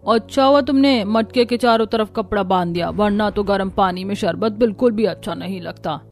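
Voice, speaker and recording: A woman's voice: medium pitch, moderate pace, natural voice. A woman playing a weary, complaining village housewife in cartoon dialogue. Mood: matter-of-fact.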